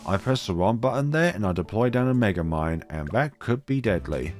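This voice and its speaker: A very low-pitched man, thirty, with a cold that makes his deep voice deeper still. He talks calmly over his own gameplay and drifts into chatty tangents. Game music plays clearly under his desk-mic voice-over. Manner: calm, rambling, chatty tangents. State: has a cold. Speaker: gaming channel host. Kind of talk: relaxed gameplay commentary.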